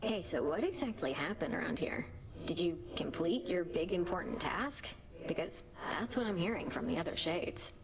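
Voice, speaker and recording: Game casting: cheeky ghost, slightly low, blunt, slangy, skeptical. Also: female voice, lively intonation, moderate pace, gravelly. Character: casual, cheeky, skeptical female shade. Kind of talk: scripted game voice acting.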